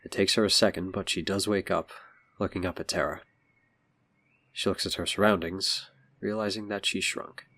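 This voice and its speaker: A man's voice: sits low, steady tone, normal speed, bright, forceful tone. A man delivering scripted narration as the narrator of an audio drama.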